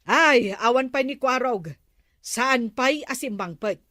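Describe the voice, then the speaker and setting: A woman's voice: sits high, expressive, takes it slowly, sharp tone, no echo, studio quality, exaggerated voice. A woman playing an exasperated, nagging wife who scolds and demands in a comedy audio drama. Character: nagging, exasperated wife ordering husband around the house. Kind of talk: comedy radio drama acting.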